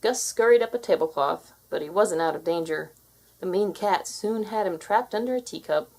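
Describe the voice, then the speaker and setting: A woman's voice: medium pitch, normal speed, a little mellow. A woman narrating a children's fairy tale as a scripted read-aloud.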